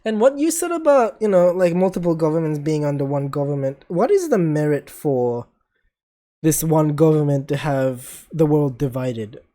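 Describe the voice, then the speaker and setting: A young man with an Australian accent hosting a casual chat, asking calm, probing questions and laughing often. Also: fairly high pitch, normal speed. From Philippines and Japan heritage, living in Australia. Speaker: host.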